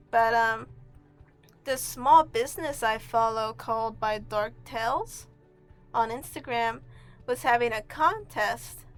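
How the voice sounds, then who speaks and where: A woman's voice: a little high, fairly bright tone. A woman chats over faint music in a homemade voice-over. She rambles casually, sounding offhand and self-deprecating, and swears in passing. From Mexico. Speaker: video artist and host. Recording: amateur home recording with music underneath.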